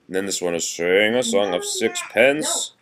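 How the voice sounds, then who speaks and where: Male reader, low-pitched, parent at storytime: sing-song rhymes broken up by informal asides and explanations for a young child. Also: wildly swooping pitch, moderate pace, a crowd in the background. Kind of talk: read-aloud of nursery rhymes with casual chat to a child.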